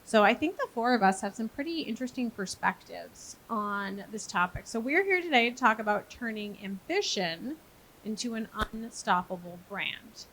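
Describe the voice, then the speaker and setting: A woman's voice: on the high side, expressive, moderate pace, a bit bright, low-quality recording. A woman from Maine in the United States moderating a live conference panel, chatty and self-deprecating, with lots of fillers. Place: conference stage.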